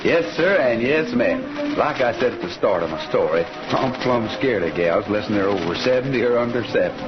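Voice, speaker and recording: Man acting a folksy, animated radio cowboy hero. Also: on the low side, normal speed, natural voice. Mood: wry. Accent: American Western drawl. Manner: folksy, hearty. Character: folksy cowboy hero, blustery and scared of women. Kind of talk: old-time radio western acting and narration. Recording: muffled old radio recording with music.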